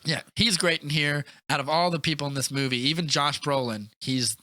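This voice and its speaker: A man with a very bright, forceful voice pitched a bit high co-hosts a casual film discussion. He is opinionated and animated, slipping into quotes. The sound is close and dry. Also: brisk, no echo. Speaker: podcast co-host.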